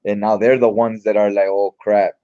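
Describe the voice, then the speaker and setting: A man's voice: lowish voice, level tone, normal speed, mellow, smooth, muffled recording. A man giving casual read-along comic commentary in an informal, slangy, mildly profane way. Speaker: comic book reviewer.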